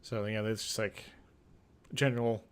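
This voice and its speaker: A man's voice: lowish voice, fairly even intonation, measured pace, slight background noise. A man hosting a gaming video, switching between dramatic reading of game text and casual, rambling commentary. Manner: hesitant, rambling asides between theatrical narration.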